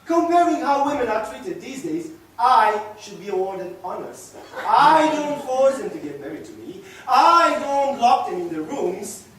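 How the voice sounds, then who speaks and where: Man acting a passionate seducer, high-voiced, very echoey. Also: expressive, normal speed. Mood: defiant, pleading. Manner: dramatic, swinging between hushed murmurs and loud declarations. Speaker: actor. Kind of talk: theatrical solo stage monologue. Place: echoey indoor room, recorded from a distance. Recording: echoey room, distant mic, faint noise.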